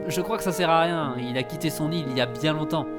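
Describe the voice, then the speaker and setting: A man's voice: on the high side, quick pace, over music, close to an everyday voice. A man playing an absurd, scheming hero of a parody adventure, declaiming with mock seriousness in a comic audio drama. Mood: mock-dramatic.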